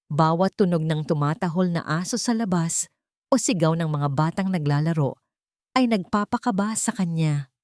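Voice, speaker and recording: A woman narrating a scripted audio drama in a measured, sentimental storytelling manner. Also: lowish voice, darkish tone, dry recording, studio quality.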